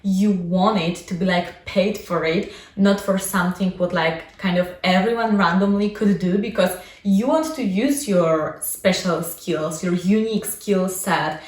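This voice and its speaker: A woman's voice: mid-range voice, measured pace, hardly any pauses. A young adult woman whose first language is Polish, talking casually about her own life as a vlogger and coach, with many fillers like "like" and "you know". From Poland. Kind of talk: casual personal vlog. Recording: echoey room, mic at a distance.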